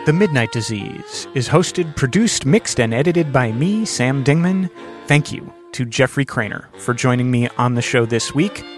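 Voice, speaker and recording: A man's voice: mid-range voice, expressive, normal speed, over music. Male podcast host, average register, sincere and conversational delivery. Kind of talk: conversational interviewing and podcast hosting.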